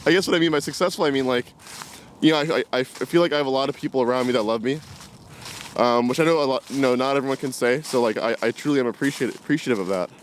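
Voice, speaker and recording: A man's voice: medium pitch, fast. Rambling young man vlogging outdoors, background noise. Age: 20s. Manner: rambling, reflective, hesitant. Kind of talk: casual unscripted talk to camera. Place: outdoors, walking in woods. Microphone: camera carried while walking.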